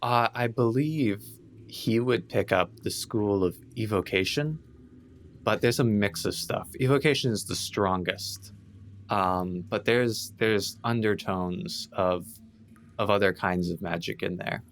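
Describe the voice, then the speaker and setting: A man's voice: lowish voice, measured pace, a little hiss or hum. A man talking casually as a player in a tabletop role-playing game.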